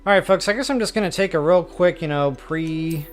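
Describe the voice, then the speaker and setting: A man's voice: fairly high pitch, brisk, soft background music. A man hosting a game stream, chatting casually to viewers in an informal, self-deprecating, rambling way. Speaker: host of a video game walkthrough stream.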